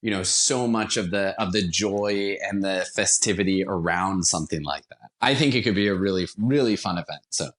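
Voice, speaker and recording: A man's voice: deep voice, moderate pace, hardly any pauses, a bit bright. A man speaking as a guest in a casual podcast interview, with an enthusiastic, conversational manner. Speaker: interview guest, radio theater producer.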